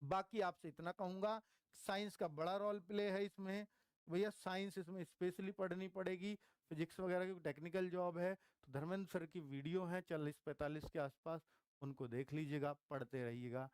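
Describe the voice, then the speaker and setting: Male exam coach, high-set voice, conversational explainer delivery with repeated key points, dry sound. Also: steady tone, moderate pace, smooth, no echo. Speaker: exam coach teaching online. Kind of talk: informal explanatory lecture to students.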